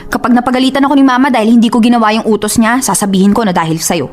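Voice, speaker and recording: A woman's voice: on the high side, fairly even intonation, rapid-fire, close to an everyday voice. A woman with a Filipino accent playing a young, guilt-ridden older sister in a radio drama, hesitant and pleading as she makes excuses. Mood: coaxing, apologetic. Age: young adult. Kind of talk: Tagalog radio drama acting. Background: music.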